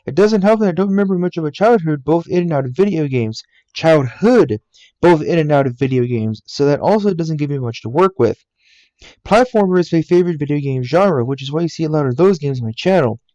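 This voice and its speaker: A man's voice: fairly high pitch, lively intonation, fairly quick, warm tone, no room sound, rough recording. A man in his twenties from the United States, earnestly narrating a scripted voice-over about himself and his channel from a game room at home. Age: 20s. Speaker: YouTuber. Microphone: laptop mic.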